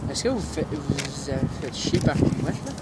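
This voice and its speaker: A man's voice: medium pitch, expressive, normal speed. A young man with a Quebec French accent, tossing in casual, cheeky questions and remarks as a survey sidekick. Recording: very noisy windy outdoor recording.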